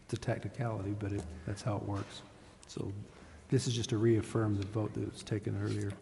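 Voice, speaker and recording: A man's voice: on the low side, flat delivery, a little fast, gravelly, mellow. A man advising a council as a city official, explaining procedure. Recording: echoey council chamber, faint noise.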